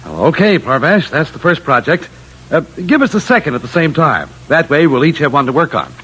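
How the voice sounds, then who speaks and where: An actor playing a brisk, confident engineer and team leader in an old-time radio drama, emphatic and take-charge. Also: male voice, on the high side, big pitch swings, fairly bright tone, husky, heavily acted, poor audio. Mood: brisk, businesslike. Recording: vintage radio broadcast sound.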